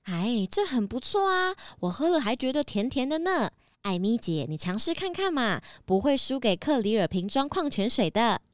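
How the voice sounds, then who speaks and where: A woman's voice: a little high, sing-song, normal speed, hardly any pauses, dry recording, studio quality. A woman playing a friendly, eager, faint-hearted female guest with a chirpy manner in a children's audio drama. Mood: cheerful.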